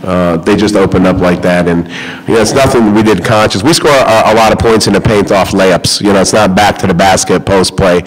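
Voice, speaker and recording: Rambling middle-aged coach, low voice. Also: male voice, a little fast, poor audio. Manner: rambling, many fillers and restarts. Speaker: head basketball coach. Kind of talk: postgame press conference answers. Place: press conference room. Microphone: table microphone.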